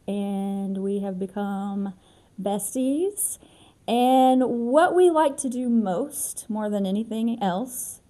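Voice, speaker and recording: American woman, mellow and casual. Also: mid-range voice. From United States. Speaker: co-host. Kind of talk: casual chat between friends.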